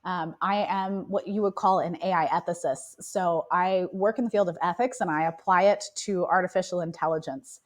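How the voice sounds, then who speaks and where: Woman, raspy from a cold, lowish voice, thoughtful, explanatory. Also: steady tone, normal speed, hardly any pauses. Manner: thoughtful, explanatory, laughs easily. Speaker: AI ethicist guest. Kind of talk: casual interview talk.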